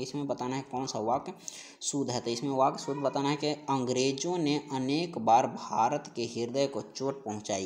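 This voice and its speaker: A man's voice: medium pitch, a little mellow. A man teaches in a question-and-answer style, explaining and restating each answer. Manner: repetitive, explanatory, stressing answers by restating them. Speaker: Hindi exam-prep teacher. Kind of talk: question-and-answer grammar lesson.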